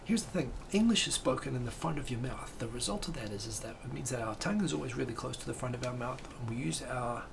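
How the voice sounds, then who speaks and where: A man hosts a livestream from a room at home, talking in a loose, rambling way. His voice is gravelly and fry-heavy at a middling pitch. A steady hiss of noise sits under the home recording. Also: fast talker, hardly any pauses. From New Zealand. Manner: rambling, animated hand gestures. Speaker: livestream host. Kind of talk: casual chat.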